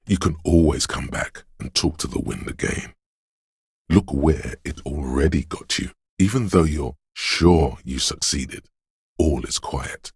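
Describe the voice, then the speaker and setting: Casting: an omniscient city spirit for an atmospheric game, extremely low and very husky, solemn and measured literary narration. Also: male voice, no echo, studio quality, full character voice. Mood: urging, guiding, then ominous, still. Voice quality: gravelly, husky. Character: brooding, omniscient spirit voice of a wind-chilled city. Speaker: video game narrator voice actor.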